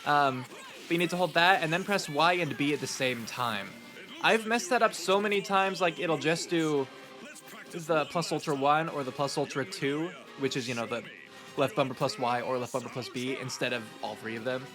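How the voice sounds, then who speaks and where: A man with a stuffy nose giving relaxed, rambling commentary on his speedrun, with lots of ums. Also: fairly high pitch, normal speed, music in the background. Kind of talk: casual post-run gameplay commentary.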